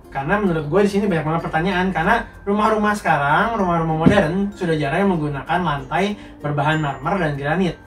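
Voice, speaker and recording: A young man with a blocked, nasal voice from a cold walks through viewer questions on tiles and bricks in a relaxed, slangy way. His voice sits a touch high, recorded from a distance in an echoing room with faint music underneath. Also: fast talker. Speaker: contractor answering viewer questions. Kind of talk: casual Q&A monologue.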